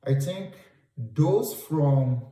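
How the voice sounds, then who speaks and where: A man's voice: mid-range voice, lively intonation, extremely slow, warm tone. A man from Ghana with a Ghanaian English accent, giving travel advice to camera and repeating key points for emphasis. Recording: roomy sound, distant from mic.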